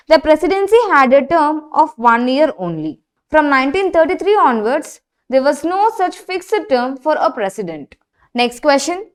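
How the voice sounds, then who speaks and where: A woman narrating a scripted quiz lesson as an exam prep instructor, in a measured question-and-answer delivery. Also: high-pitched.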